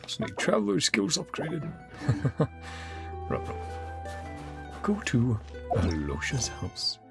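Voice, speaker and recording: A man's voice: mid-range voice, wildly swooping pitch, takes it slowly, vocal fry, over music. A man doing casual gameplay commentary as a streamer, often muttering to himself as he thinks. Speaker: streamer playing a video game.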